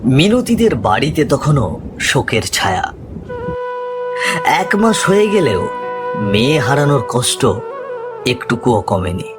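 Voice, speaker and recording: A man's voice: medium pitch, big pitch swings, takes it slowly, long pauses. A man narrating a scripted ghost story in a dramatic storytelling style. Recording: music behind the voice. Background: music.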